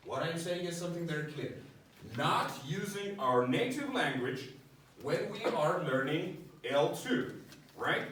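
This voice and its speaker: A man teaches an English class in a bright, animated voice, walking his students through each idea and often checking in with "right?". He sounds a little distant from the microphone, and the room gives back a strong echo with a faint hiss underneath. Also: a little high, measured pace. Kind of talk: classroom lecture.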